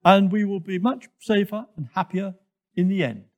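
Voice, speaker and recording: A man's voice: high voice, moderate pace, a little mellow, highs cut off, pitched above the performer's own voice, close to an everyday voice. A man voicing a bossy but caring giraffe friend in a children's story, firm and kindly. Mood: reassuring. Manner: firm, scolding yet kindly.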